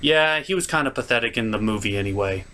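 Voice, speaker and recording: A man's voice: lowish voice, lively intonation, quick pace, pushed and bright, slight background noise. A man hosting a comedic film review, giving opinionated and digressive commentary. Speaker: host, puppeteer and film reviewer.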